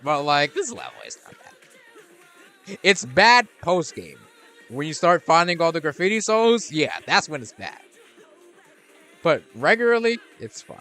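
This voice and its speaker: A man's voice: a little high, big pitch swings, a little slow, long pauses, sharp tone, faint music behind. A man hosting a gaming channel, rambling through casual gameplay commentary with lots of restarts and fillers. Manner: rambling, many restarts and fillers, laid-back.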